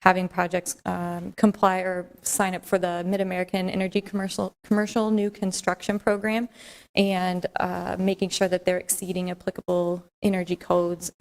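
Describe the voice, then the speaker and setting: A soft-spoken woman walks a council through policy in a level, even tone. Her voice sits a little low. She explains carefully and steadily, with the odd filler and restart. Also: moderate pace. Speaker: city staff presenter. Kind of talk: formal presentation at a council meeting.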